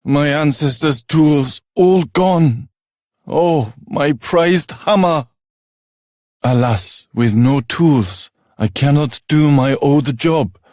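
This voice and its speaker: An actor playing an earnest, humble swordsmith and shopkeeper in a fantasy video game, speaking formally and reverently with theatrical weight. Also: male voice, on the high side, slow, darkish tone, no echo, clean studio sound. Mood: sorrowful. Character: earnest, humble exiled swordsmith turned village shopkeeper, male.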